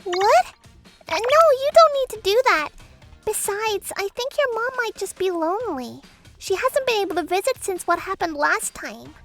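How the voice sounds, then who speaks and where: A woman voicing an anxious young newlywed wife in a manga dub, expressive and easily flustered. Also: very high voice, moderate pace, soft background music, heavily acted. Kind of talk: manga dub first-person narration and dialogue.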